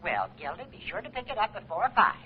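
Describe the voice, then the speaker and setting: On a dull, vintage radio recording, a crotchety older judge. The voice is thin, reedy and husky, smug in the manner of 1940s radio comedy. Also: male voice, high-pitched, sing-song, fast, full character voice. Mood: businesslike. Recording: muffled old-time radio recording.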